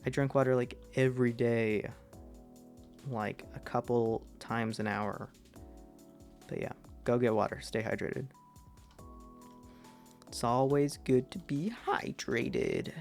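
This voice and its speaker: Slow, gravelly young man streaming. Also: darkish tone, faint music behind. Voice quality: deep voice. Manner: rambling, self-deprecating, trails off with fillers. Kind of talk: casual gameplay commentary to chat.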